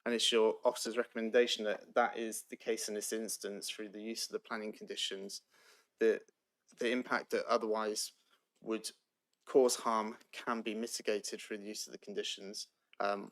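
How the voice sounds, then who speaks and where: A man, a planning officer giving measured, procedural answers at a council meeting with frequent ums. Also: lowish voice, little pitch movement, fairly bright tone.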